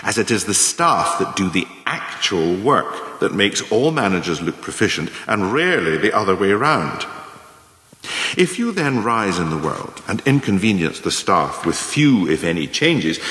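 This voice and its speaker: Radio play lecturer, played by a male actor: low voice, animated intonation, formal and calculating instruction. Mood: measured, instructive. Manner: measured, didactic, formal, rhetorical. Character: male lecturer coolly teaching scheming career advancement. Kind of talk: scripted radio monologue as a lecture. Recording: echoey room with long reverb.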